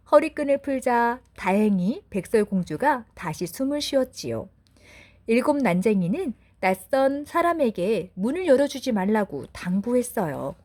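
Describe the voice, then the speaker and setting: Animated woman storyteller, fairly high voice. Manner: gentle, deliberate storytelling for children. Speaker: storyteller mother. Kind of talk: expressive bedtime fairy-tale narration.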